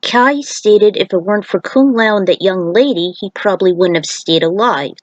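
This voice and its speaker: A woman's voice: mid-range voice, normal speed, no echo, dull audio. A woman reading scripted story narration as a storyteller, recorded close as a voice-over.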